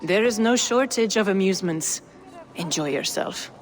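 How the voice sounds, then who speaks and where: A woman's voice: medium pitch, expressive, moderate pace, natural voice. Woman playing a teasing trader in a reverberant hall. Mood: dry teasing. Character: Azar, poised, teasing adult woman trader. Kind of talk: video game dramatic dialogue. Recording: reverberant hall amid crowd.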